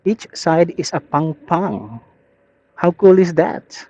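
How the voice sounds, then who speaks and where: A man's voice: fairly high pitch, expressive, slow, a little mellow. A man with a Filipino accent chatting casually and thinking aloud as a motorcycle vlogger, in an easygoing, rambling way. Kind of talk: casual chat, rambling commentary while riding. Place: outdoors, riding a motorbike on rural roads. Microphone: helmet intercom headset. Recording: muffled helmet intercom audio.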